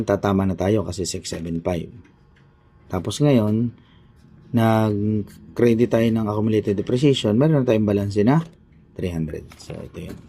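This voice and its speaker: A man's voice: lowish voice, gravelly, dark tone, breathy edge. A man with a Filipino accent teaching an informal accounting lesson, thinking aloud step by step and repeating himself as he works through an example.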